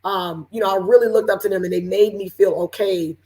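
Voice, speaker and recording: A woman from Seattle with a raspy voice, hosting a casual panel chat over a video call with lots of fillers. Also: mid-range voice, a little fast. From Seattle, Washington. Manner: relaxed, chatty, lots of fillers. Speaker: panel host.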